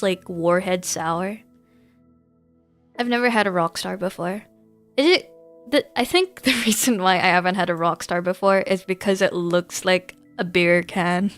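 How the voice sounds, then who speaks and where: A woman's voice: mid-range voice, clean tone. A woman chatting casually on a gaming livestream in a giggly, rambling way. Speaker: live streamer. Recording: music behind the voice. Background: background music.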